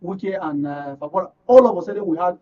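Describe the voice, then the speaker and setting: A high-voiced man with a northern Nigerian accent gives his views as a political guest on a talk show. He leans on key phrases by repeating them. The sound is dull and picked up from some distance. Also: quick pace. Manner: emphatic, repeats phrases for stress. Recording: muffled, distant microphone.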